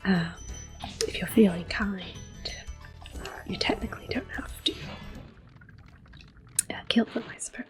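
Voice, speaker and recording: A woman hosting soft-spoken ASMR gameplay commentary, whispering gently as she plays. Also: mid-range voice, animated, a little slow, long pauses. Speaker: ASMR gaming host. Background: game music.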